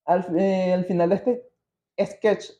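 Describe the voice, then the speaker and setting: A man's voice: high voice, fairly even intonation, slow pace, mellow. A man with a Venezuelan accent hosting a podcast, telling things casually from his notes in a slangy, digressive way. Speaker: podcast host. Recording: muffled, roomy podcast recording.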